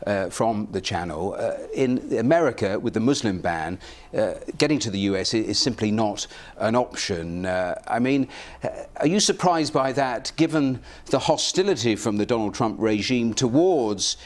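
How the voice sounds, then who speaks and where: Man, news presenter, low pitch, measured studio interview, occasional uh. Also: lively intonation. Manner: measured, fills pauses with uh. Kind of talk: broadcast interview.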